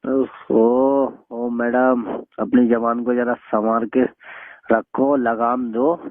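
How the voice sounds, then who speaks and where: A man's voice: mid-range voice, flat delivery, extremely slow, no echo. A man talking bluntly and sarcastically in an informal, argumentative phone conversation, scolding the other person. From rural North India. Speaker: phone caller. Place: phone call, voice heard down the line. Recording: tinny phone call recording.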